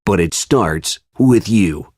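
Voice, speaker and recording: Grave American political ad announcer: low, swooping emphasis, persuasive, heavy hall reverb. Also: male voice, lively intonation, takes it slowly, darkish tone. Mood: rallying. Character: grave, dramatic male campaign ad announcer. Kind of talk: scripted campaign ad narration. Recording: long reverberant hall echo.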